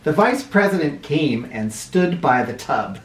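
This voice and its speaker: Female storybook reader: unhurried, very expressive intonation, roomy sound a little away from the microphone. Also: deep voice, a little hiss or hum. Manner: expressive, with asides to young listeners. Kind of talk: animated children's storybook read-aloud. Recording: roomy, somewhat far from mic.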